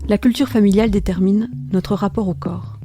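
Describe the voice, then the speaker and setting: Woman narrating a documentary podcast. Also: mid-range voice. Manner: measured, deliberate reading. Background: music.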